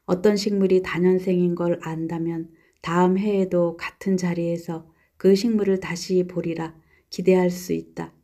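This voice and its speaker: A woman reads aloud from a nonfiction book in a calm, gentle, steady way. Her voice sits a little low and sounds mellow and warm, never sharp. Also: normal speed. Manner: calm, gentle, even delivery.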